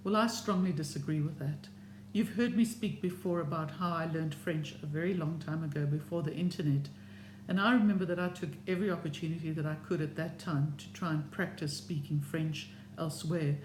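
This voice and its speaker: Middle-aged, Serbian home language, measured encouraging vlog talk, roomy echo, audible hum. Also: medium pitch. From South Africa. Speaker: language learning vlogger. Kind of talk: opinion talk to camera. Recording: echoey room with steady hum.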